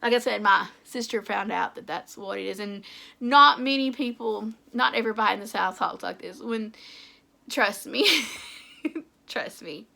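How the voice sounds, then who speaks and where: Gravelly-voiced Appalachian woman vlogging. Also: medium pitch, unhurried, bright, forceful tone. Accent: Appalachian English. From Tennessee. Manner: chatty, self-conscious, laughs often. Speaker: author and book YouTuber. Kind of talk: casual vlog chat to camera.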